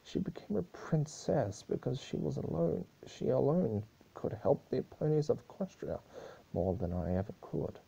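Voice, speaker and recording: A man's voice: medium pitch, very animated, normal speed, creaky, warm tone, husky, highs cut off, voice pushed up, heavily acted. A man voicing a grieving, furious female pony ruler in a solemn, accusing speech-like manner. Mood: solemn grief.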